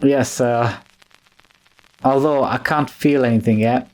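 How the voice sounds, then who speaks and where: A man's voice: mid-range voice, fairly even intonation, slow, darkish tone, lightly acted, rough recording. Man playing a polite, uneasy manservant. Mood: deferential. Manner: polite, hesitant. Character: deferential, uneasy manservant following his master. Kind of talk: old-time radio drama acting.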